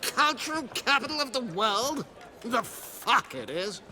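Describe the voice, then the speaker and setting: Fantasy game patron: scornful, affronted, piercing, swinging widely in pitch. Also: female voice, big pitch swings, a little slow, sharp tone, slight background noise, full character voice. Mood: indignant, scornful. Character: indignant, scornful female tavern patron.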